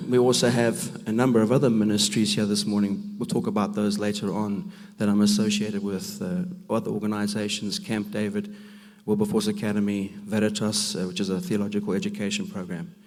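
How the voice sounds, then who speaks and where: A man with a rough, gravelly voice from a cold, giving a preaching talk at a men's conference, emphatic and full of rhetorical questions. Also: on the low side, level tone, a little fast, darkish tone. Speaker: conference speaker. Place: church hall. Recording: long reverb of a large hall.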